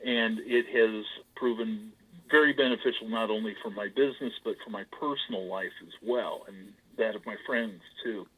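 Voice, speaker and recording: A man, an author, chatting loudly and with lots of animation as an interview guest, laughing and acting out the voices in his stories. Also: on the low side, moderate pace, husky, low-quality recording. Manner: loud, animated storyteller, laughs often; acts out quoted voices. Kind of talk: casual interview chat.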